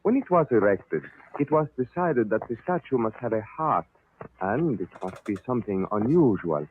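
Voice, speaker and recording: A man playing a suave, menacing Egyptian high priest in a vintage radio serial, speaking formally and deliberately with a put-on foreign accent. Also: very animated, slight background noise. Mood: suave, explanatory. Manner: formal, courteous, deliberate, theatrical. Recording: tinny, band-limited old radio recording.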